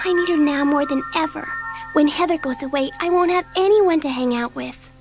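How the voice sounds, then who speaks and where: A woman playing an impulsive, excitable schoolgirl with an eager, dramatic manner in a dramatised audiobook. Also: high-pitched, normal speed, music in the background. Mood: wistful, defiant. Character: bored, impulsive, excitable schoolgirl dabbling in magic.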